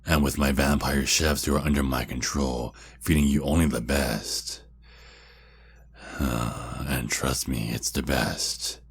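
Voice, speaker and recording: A male actor performs a seductive, possessive vampire kidnapper for an ASMR roleplay. His voice is extremely low and full of gravelly fry, husky at the edges. He talks slowly and intimately, taunting and controlling his captive. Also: steady tone, heavily acted. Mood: smug, teasing. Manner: slow, intimate, deliberate, with drawn-out pauses.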